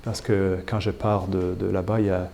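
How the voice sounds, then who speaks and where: A man answers interview questions as a bishop. His voice is low, flat and mellow, and he pauses often and starts phrases over. A faint hum of ambient noise sits behind him. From Canada. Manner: hesitant, many fillers and restarts. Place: chapel.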